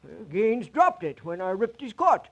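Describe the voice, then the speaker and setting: A man's voice: sing-song, moderate pace, gravelly, fairly bright tone, dull audio, full character voice. An actor playing a folksy, apologetic old watchman in a radio drama, stammering and hesitating as he talks. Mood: hesitant. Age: older. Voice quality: high-pitched, folksy.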